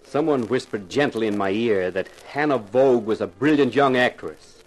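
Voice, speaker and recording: A man acting as a cagey writer in an old radio mystery. His voice is medium in pitch, and his delivery is dry and sardonic, with a mocking edge. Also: moderate pace, natural voice, low-quality recording. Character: sardonic, cagey male TV scriptwriter. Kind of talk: 1950s radio drama acting.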